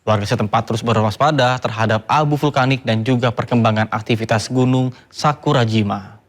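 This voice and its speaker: A man reading scripted news as an anchor in a formal, measured announcer delivery. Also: mid-range voice, fairly even intonation.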